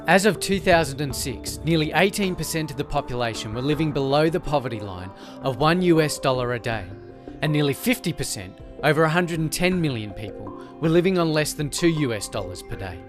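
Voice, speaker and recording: A man reading a scripted documentary narration in a steady, informative way. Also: on the high side, a little fast, music in the background.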